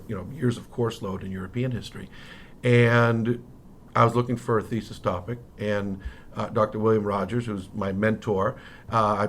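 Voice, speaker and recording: Interview guest: male, middle-aged, American accent, lower register, casual anecdotal delivery that restarts and rephrases, some noise behind. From Miami, United States. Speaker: interview guest, history professor. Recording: noticeable background noise.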